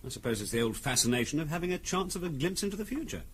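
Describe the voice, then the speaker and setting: An actor playing a keen, persuasive middle-class English husband who loves antiques, in 1970s radio drama style. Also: male voice, fairly high pitch, sing-song, rapid-fire, a bit bright. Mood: eager, cajoling. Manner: eager, wry, persuasive. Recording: noisy old radio drama recording.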